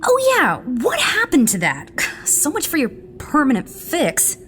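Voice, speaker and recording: A woman's voice: sits high, wildly swooping pitch, unhurried, fairly bright tone, slight background noise, exaggerated voice. A woman playing a surly, protective menagerie keeper in an audio drama, blunt, grumbling and dryly sarcastic. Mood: dry sarcasm.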